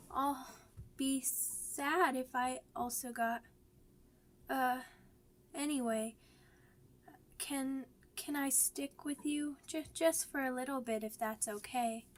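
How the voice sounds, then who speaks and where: A woman's voice: high voice, fairly even intonation, slow, long pauses. A woman voicing a timid, trusting young werewolf pup in an audio roleplay, with a hesitant, stammering delivery. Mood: shy, nervous. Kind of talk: ASMR audio roleplay acting.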